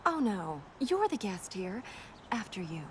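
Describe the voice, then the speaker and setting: A woman playing an earnest, dutiful young princess in a video game, matter-of-fact with a dry, gently teasing wit. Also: mid-range voice, very animated, unhurried. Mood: composed. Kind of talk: video game dialogue acting. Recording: noisy game ambience behind voice.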